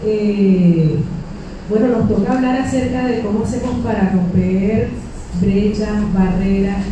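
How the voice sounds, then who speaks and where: A woman from Venezuela, informally introducing a live panel discussion as host, in a halting way with drawn-out, repeated words. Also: mid-range voice. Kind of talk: informal spoken introduction to a live public talk. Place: indoors in a library event space. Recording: very echoey room, distant mic, faint noise.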